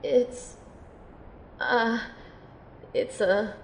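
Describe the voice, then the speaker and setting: Creaky, mellow young pupil girl, woman acting, roomy. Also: fairly even intonation, very slow. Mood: unsure. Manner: hesitant, plain-spoken. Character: hesitant, reluctant young female pupil of magic. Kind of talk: audio drama acting. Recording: roomy amateur recording, faint rumbling noise.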